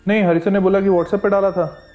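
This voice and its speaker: A man's voice: sits high, level tone, fairly quick, dull audio. Male, thirties, playful teacher making casual prank chat, light music bed. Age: 30s. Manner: playful, teasing. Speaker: online teacher. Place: indoor teaching studio. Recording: background music under the voice.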